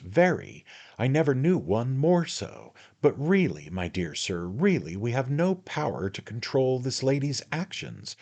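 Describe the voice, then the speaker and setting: Man voicing a high, bustling, wheedling little lawyer; ingratiating and fussy. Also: a little mellow. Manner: ingratiating, wheedling, repeats "my dear sir".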